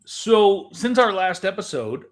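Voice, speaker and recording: A man's voice: high-pitched, sing-song, measured pace. A man talking casually as the co-host of a chat podcast.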